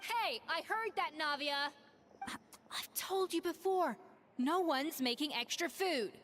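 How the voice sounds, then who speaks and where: Exacting female head chef for a game, slightly high voice, stern, clipped commands. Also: animated, slow, fairly bright tone, a little hiss or hum, heavily acted. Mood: gruffly defensive. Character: brisk, exacting adult female head chef, secretly kind. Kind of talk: video game voice acting. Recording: game music behind the voice.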